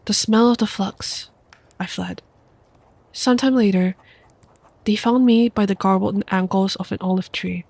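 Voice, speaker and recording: A young woman with a stuffy nose from a cold, reading a book aloud softly as an ASMR streamer into a close microphone. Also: mid-range voice, fairly even intonation, gravelly, no room sound. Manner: soft, quiet, unhurried reading voice. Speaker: VTuber streamer.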